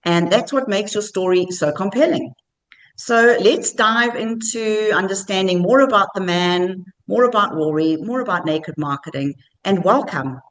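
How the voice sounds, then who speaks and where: A woman's voice: mid-range voice, normal speed, poor audio. A woman hosting a podcast interview, asking questions and encouraging her guest. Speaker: podcast host. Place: coworking space.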